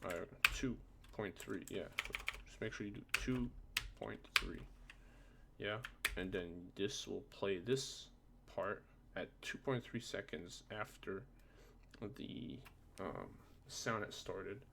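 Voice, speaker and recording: A man with a low, creaky voice walks through a software tutorial. He is informal and hesitant, thinking aloud. Faint noise and keyboard typing sit behind him. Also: slow. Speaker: tutorial presenter. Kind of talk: casual screen-recorded software tutorial. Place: indoors at a computer. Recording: noisy home desktop recording, keyboard clicks. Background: keyboard typing, background noise.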